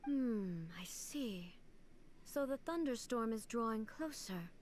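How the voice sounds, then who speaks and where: A woman playing a dignified, ageless female deity who rules, speaking in a formal, deliberate, composed way in a video game. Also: a little high, lively intonation, darkish tone. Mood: puzzled. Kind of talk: video game character acting. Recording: game audio with faint background noise.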